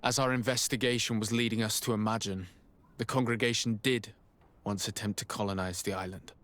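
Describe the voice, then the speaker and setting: An actor playing a loyal, resolute young diplomat in a video game, speaking formally and earnestly. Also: male voice, fairly low pitch, steady tone, a little fast, fairly bright tone, natural voice. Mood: grave recounting. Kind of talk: dramatic video game acting.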